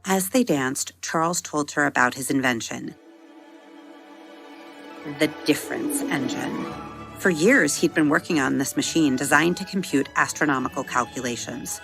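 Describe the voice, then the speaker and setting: A woman narrates a children's storybook tale in a low register with plenty of vocal fry. Her delivery is measured and expressive, like scripted podcast storytelling. Music plays behind her voice.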